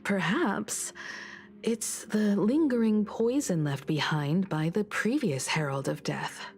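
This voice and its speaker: An actress voicing a grave, brooding senior investigator in a video game, speaking in a measured, solemn way. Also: female voice, mid-range voice, animated, a little mellow, slight background noise. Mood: hushed, troubled. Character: grave, brooding senior investigator woman, troubled by past tragedy. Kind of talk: dramatic video game voice acting.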